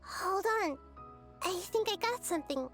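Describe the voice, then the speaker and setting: Brief: a calm, wise girl deity for a game; very high, soft-spoken, patient. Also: moderate pace, faint music behind. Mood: reassuring. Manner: calm, explanatory. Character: wise, calm young-sounding girl god. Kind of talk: game voice acting.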